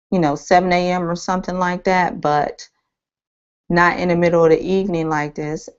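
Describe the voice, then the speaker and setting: A woman with a tired, slightly hoarse voice walking through a screen-share tutorial, rambling and thinking aloud with many ums. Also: low voice, a little mellow. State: losing her voice, a bit hoarse. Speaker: online marketing trainer. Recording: dull lo-fi screen-capture audio.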